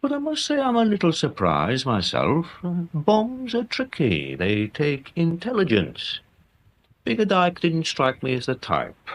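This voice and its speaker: An actor playing a deferential, plain-speaking English police sergeant in a radio drama, respectful and a little hesitant. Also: male voice, a little high, wildly swooping pitch, a little slow, heavily acted. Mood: respectful, doubtful.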